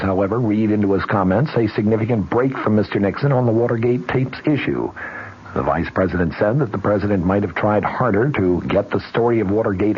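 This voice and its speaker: An American man reading a scripted 1970s radio news report in a measured, formal newsreader delivery. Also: on the low side, slightly rough. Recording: dull, noisy old radio recording.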